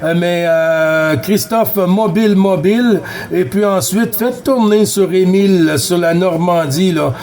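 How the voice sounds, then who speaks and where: High-voiced Quebec man on CB radio. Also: a little slow, few pauses, a little mellow. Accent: Quebec French. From Quebec, Canada. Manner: courteous, repeats words and callsigns. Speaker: amateur CB radio operator running a contact round. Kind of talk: CB radio contact chat, callsigns spelled out.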